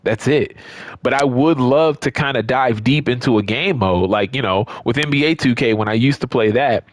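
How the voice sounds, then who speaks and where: Man, American accent, medium pitch, casual unscripted gamer commentary, conversational, emphatic repetition, dry room-free sound. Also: normal speed, no room sound. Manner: conversational, emphatic, repeats points for stress. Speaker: gaming video creator. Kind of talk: casual unscripted opinion talk.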